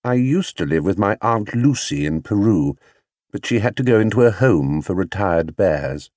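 A man voicing a small, young male bear who speaks clearly, politely and with proud earnestness, as in a children's storybook. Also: lowish voice, lively intonation, moderate pace, a little mellow, no room sound, clean studio sound. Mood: earnest, matter-of-fact.

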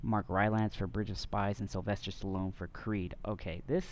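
A man with a lowish voice, relaxed and joking as he co-hosts a casual podcast about movies. He talks loosely and fills gaps with ums and restarts. A faint hiss of noise sits under the recording. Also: normal speed, muffled recording. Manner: laid-back, joking, many fillers. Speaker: podcast co-host.

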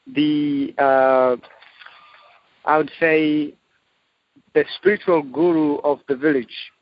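A man from Zimbabwe, a missionary guest, telling his testimony in a slow, measured way with frequent pauses. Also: on the high side, dry recording. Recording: dull, dry audio.

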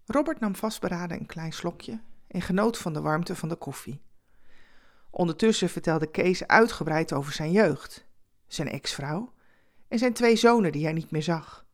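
A woman narrating a scripted story expressively, with conversational asides and dramatic pauses. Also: fairly low pitch, expressive.

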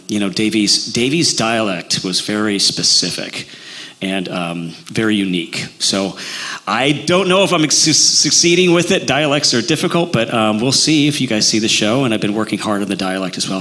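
A man with a Midwestern accent talks loosely, circling back with fillers and restarts. His voice sits a little low but sounds bright and forceful. He is an actor chatting in an interview. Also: normal speed. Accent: Midwestern American. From Illinois. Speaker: actor playing Davy Crockett, interview guest. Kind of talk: casual interview talk.

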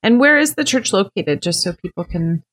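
A woman's voice: medium pitch, animated, normal speed, fairly bright tone. A woman from the US West Coast hosting a podcast interview in a friendly, upbeat way. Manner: friendly, upbeat, scripted intro. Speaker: podcast host.

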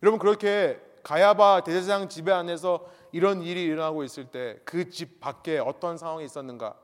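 High-voiced pastor preaching in a reverberant hall. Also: male voice. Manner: emphatic, repeats key points, asks the congregation rhetorical questions. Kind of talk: sermon.

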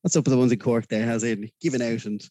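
A man's voice: medium pitch, fast, dark tone, breathy edge, no echo, clean studio sound. A man with a Dublin Irish accent as a podcast guest, talking in a rambling, hesitant way with many fillers. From Ireland. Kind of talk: casual interview chat.

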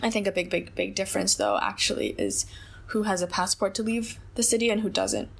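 A woman's voice: mid-range voice, very animated, brisk, vocal fry. A young woman from Hong Kong, an interview guest speaking in a reflective, conversational way with lots of hedging and filler words. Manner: thoughtful, many hedges and fillers like "like" and "I think".

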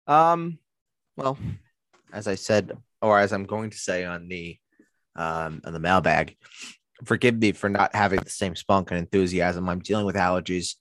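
A man's voice: deep voice, moderate pace, fairly bright tone. A man co-hosting a podcast, congested and dealing with laryngitis, rambling with many fillers, sitting close to his mic. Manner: rambling, lots of fillers and restarts. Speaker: podcast co-host. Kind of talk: casual podcast chat.

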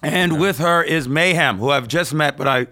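A man's voice: on the high side, moderate pace, pushed and bright. A man hosting a podcast, joking and teasing his guests in casual chat. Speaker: podcast host.

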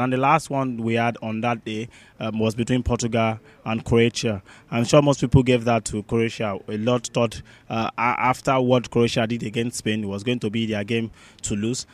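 A man co-hosting a sports roundup, talking conversationally and haltingly, often repeating his words. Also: mid-range voice. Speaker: sports show co-host.

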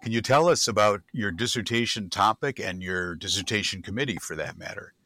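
A man's voice: fairly low pitch, fairly quick, bright, forceful tone, slight background noise. A man hosting an interview podcast, introducing his guest and asking questions attentively. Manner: attentive, frequent mm-hmms. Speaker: podcast host.

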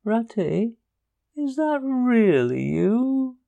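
A man's voice: extremely high pitch, very animated, drawn-out words, creaky, mellow, dull audio, voice pushed up, heavily acted. A man voicing a small, timid, worn-out male mole who speaks plaintively. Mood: frightened. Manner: frightened, plaintive.

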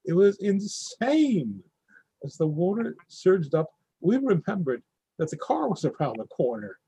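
An actor playing a man who tells of driving through flooded streets in a vivid, animated way. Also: sits high, a little slow, husky, dry recording, heavily acted, low-quality recording. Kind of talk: readers theatre monologue.

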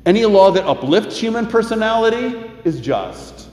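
A man giving a sermon as pastor, preaching in an earnest, measured way and reading scripture aloud. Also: sits high, big pitch swings, a little mellow.

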